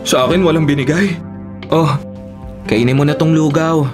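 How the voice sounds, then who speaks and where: A campy young Filipino man, played by an actor in a radio drama. He is expressive, sassy and caring, with light music underneath. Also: on the high side, normal speed, close to an everyday voice. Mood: concerned.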